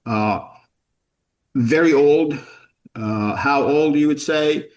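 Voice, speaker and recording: A man's voice: fairly high pitch, slow pace. Jordan-born middle-aged man telling stories. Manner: rambling, self-deprecating, repeats words when starting sentences. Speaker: podcast guest, company founder. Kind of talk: casual storytelling interview.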